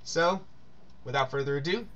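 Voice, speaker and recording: A man's voice: on the high side, moderate pace, fairly bright tone, muffled recording. A man hosting a video game playthrough with casual commentary. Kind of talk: casual let's play commentary.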